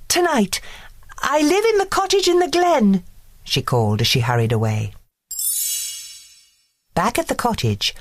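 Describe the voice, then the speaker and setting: A woman narrating a scripted bedtime story for children in an expressive, deliberate storytelling manner. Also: extremely low pitch. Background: music.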